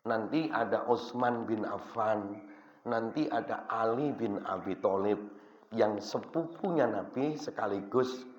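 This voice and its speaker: Deep-voiced man, echoey prayer room. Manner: measured, halting delivery with frequent pauses. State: has a cough and cold. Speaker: religious teacher. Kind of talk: Islamic study sermon. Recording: echoey room, dull lo-fi sound, faint noise.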